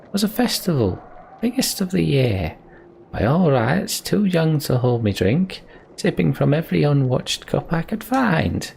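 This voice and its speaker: A man's voice: fairly high pitch, sing-song, measured pace, gravelly, a little mellow, slightly rough, voice pushed up, full character voice. A man voicing a boastful, rambling old minstrel from a video game, telling tales in colloquial speech. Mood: animated storytelling. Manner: colloquial, storytelling, swooping emphasis. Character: rambling, boastful old male minstrel, cheerfully world-weary. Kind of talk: reading video game dialogue in character.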